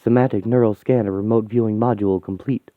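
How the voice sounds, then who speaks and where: A man's voice: on the low side, steady tone, fast talker, no echo, lightly acted. A man voicing a cold alien machine intelligence in a story, giving clipped, cryptic technical announcements. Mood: clinical. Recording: thin phone-like sound.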